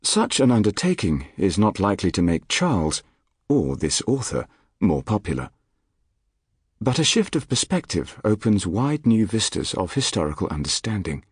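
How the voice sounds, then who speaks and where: A man reading a nonfiction audiobook in a measured, articulate, formal manner. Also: on the low side, sing-song, husky, no echo, clean studio sound. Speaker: audiobook narrator. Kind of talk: scripted nonfiction audiobook narration. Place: dry recording studio.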